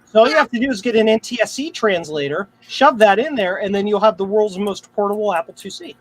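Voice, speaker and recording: A man's voice: sits high, expressive, quick pace. A man hosting a live stream, chatting casually in a jokey, slangy way. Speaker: livestream host. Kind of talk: casual live-stream banter about retro computers. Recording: noisy live stream, room ambience.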